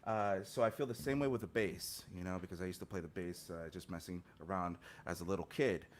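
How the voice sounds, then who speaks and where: A man's voice: low voice, fairly quick, a little hiss or hum. A man in his thirties, a guest musician, answering interview questions earnestly and reflectively over a video call from a home studio mic, with many fillers and restarts. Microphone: studio mic on stand, headphones, video call.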